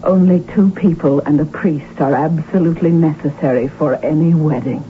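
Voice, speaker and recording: A woman's voice: deep voice, moderate pace, close to an everyday voice. An actress playing a devoted, self-sacrificing middle-aged noblewoman in a radio drama, speaking earnestly and tenderly. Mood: earnest, concerned. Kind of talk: 1970s radio drama acting. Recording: lo-fi radio recording with faint hum.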